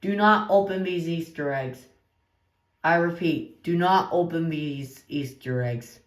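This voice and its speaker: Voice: mid-range voice, measured pace, echoey room. A synthetic-sounding announcer reading a scripted emergency alert in a formal, stilted manner. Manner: formal, stilted warning delivery.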